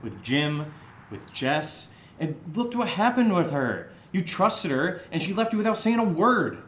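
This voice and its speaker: A man's voice: a little high, very animated, measured pace. A man acting an exasperated, suspicious young friend in an audio drama, speaking casually. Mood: accusing. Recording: roomy, faint background noise.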